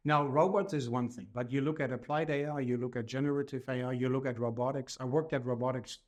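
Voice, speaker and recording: A middle-aged or older man with a German accent speaks as a podcast host. His voice sits at an average pitch, and his delivery is formal and measured as he introduces and questions a guest. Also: fairly even intonation.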